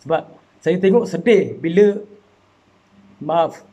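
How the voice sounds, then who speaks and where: A man's voice: on the high side, slow pace, mellow, dull audio. A man from Malaysia making an earnest, impassioned personal appeal, repeating himself and rising into emotional pleas. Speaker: citizen speaking out.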